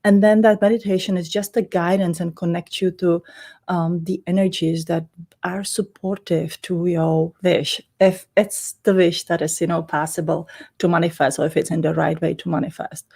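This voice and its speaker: A woman's voice: on the low side, little pitch movement, moderate pace, vocal fry, darkish tone, breathy edge. A middle-aged woman from the Czech Republic with a Czech accent, a native Czech speaker, explaining things casually and in a rambling way as an interview guest. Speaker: interview guest, energy healer.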